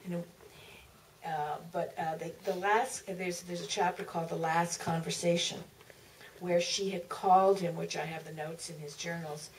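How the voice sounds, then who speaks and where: A woman author recollecting at a live Q&A in a theater, speaking haltingly with repeats and trailing phrases. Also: on the low side, creaky. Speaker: author, panel guest. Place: museum theater. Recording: distant, noisy auditorium pickup.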